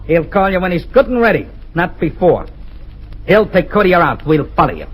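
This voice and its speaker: A man's voice: high voice, big pitch swings, normal speed, husky, full character voice, poor audio. An actor playing a cold, threatening gangster who takes a man hostage, in old-time radio acting. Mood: menacing. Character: menacing male gangster holding a hostage.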